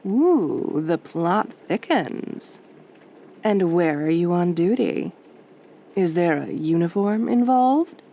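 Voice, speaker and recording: A woman playing a sultry, teasing late-night radio DJ in a soft-spoken roleplay, with a velvety voice and a flirty, wry drawl. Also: on the low side, lively intonation, slow, lo-fi sound, slight background noise.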